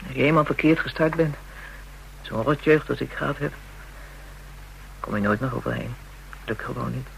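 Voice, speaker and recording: A woman's voice: extremely low pitch, moderate pace, creaky, low-quality recording. Bitter young call girl, slangy, coarse, defiant, radio drama, slight background noise. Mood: resigned.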